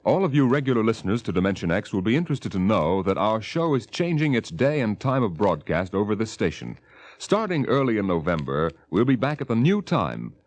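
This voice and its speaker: A man narrating a 1950s radio drama as host, with measured, dramatic, literary delivery. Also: lowish voice, big pitch swings, darkish tone. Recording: vintage 1950 radio recording. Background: music.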